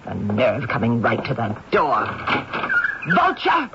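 A fierce old spinster. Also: female voice, extremely low pitch, wildly swooping pitch, slow pace, heavily acted. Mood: furious. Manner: indignant, sharp-tongued, then fawning. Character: proud elderly woman guarding her old house. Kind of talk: 1970s radio drama acting. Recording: dull old radio broadcast, faint hiss.

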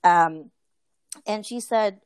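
A woman's voice: medium pitch, drawn-out words, clean tone, no room sound. A woman in a casual group conversation, talking earnestly with many fillers and restarts. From Filipino family.

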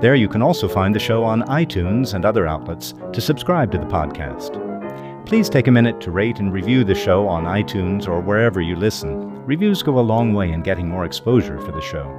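A man hosting a podcast, reading scripted narration in a measured, formal way. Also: lowish voice, lively intonation, music in the background. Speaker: podcast host and author.

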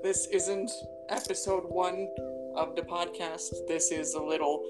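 A woman with a notably low voice hosts a casual podcast, chatting off the cuff with a friend. She is giggly and sweary, and plucked guitar music plays clearly behind her. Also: steady tone, unhurried, poor audio. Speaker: podcast host. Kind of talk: casual unscripted banter with a friend.

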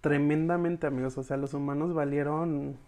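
The speaker has a Mexican accent and a medium-pitched voice. They retell a story informally, as if to a group of friends. The delivery is slangy and expressive, with dialogue casually acted out along the way. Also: moderate pace. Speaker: podcast reviewer recapping episodes for followers. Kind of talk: informal storytelling recap, addressing the audience as friends.

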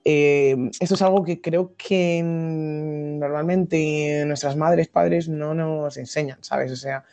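A young woman from Madrid with a Madrid accent, a podcast guest explaining her ideas thoughtfully, with many pauses and restarts. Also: low voice, steady tone, mellow. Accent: Madrid Spanish. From Madrid, Spain. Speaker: guest activist. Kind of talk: podcast interview.

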